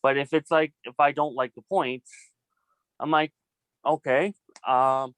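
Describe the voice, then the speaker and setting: A man's voice: mid-range voice, steady tone, measured pace, fairly bright tone, smooth, no room sound. A man speaking as a student filmmaker in a casual group discussion. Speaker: student filmmaker in an online class meeting.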